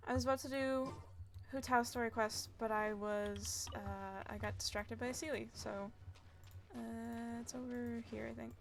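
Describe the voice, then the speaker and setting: A woman's voice: on the high side, steady tone, normal speed, gravelly. A young woman chatting casually and muttering to herself as she plays a game on a livestream. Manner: mutters to self while playing. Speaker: game streamer. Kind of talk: casual livestream gameplay chat. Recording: faint noise, keyboard and mouse clicks.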